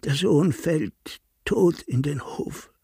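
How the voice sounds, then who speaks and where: Husky, guilt-ridden village priest. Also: male voice, on the high side, lively intonation, slow, dark tone, dry recording, studio quality, full character voice. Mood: anguished. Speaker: actor in a radio drama. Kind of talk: slow, literary radio drama monologue.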